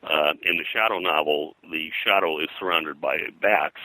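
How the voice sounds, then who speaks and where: An older man speaking as an interview guest, reminiscing in a halting, digressive way and often clearing his throat. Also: rumbling low, bright, forceful tone, dry recording, rough recording. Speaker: guest, pulp and comics historian. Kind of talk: interview conversation, reminiscing about his work.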